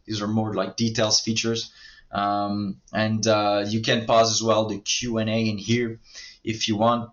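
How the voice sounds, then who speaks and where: A man with a French Canadian accent pitching his marketing services to camera, hesitant, with many fillers and restarts. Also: monotone, a little slow, lo-fi sound.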